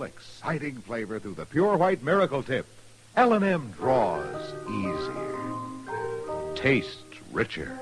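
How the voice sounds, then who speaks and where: A man's voice: mid-range voice, rough recording. A man with an American accent reading a 1950s radio commercial as its announcer. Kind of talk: 1950s radio advertising read. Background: music.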